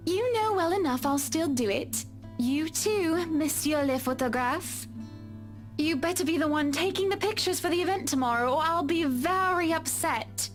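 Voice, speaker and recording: High-pitched, refined, anxious wife. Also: female voice, expressive, moderate pace, soft background music. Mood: gracious, insistent. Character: anxious pregnant high-society wife, gracious but insistent. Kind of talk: visual novel voice acting.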